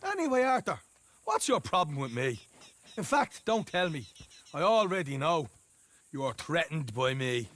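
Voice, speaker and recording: A man's voice: fairly high pitch, sing-song, measured pace, a bit bright. A man playing a boastful, hot-headed young outlaw with an Irish accent, needling and theatrical, in a video game. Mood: cocky. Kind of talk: animated video game acting.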